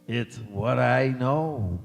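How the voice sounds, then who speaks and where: Slow, gravelly old husband played by a man. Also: mid-range voice, heavily acted. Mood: tender, contented. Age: older. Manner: slow, repetitive, halting delivery. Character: frail, devoted old husband, weary and tender. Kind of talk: stage radio-play acting. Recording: echoey hall, music behind.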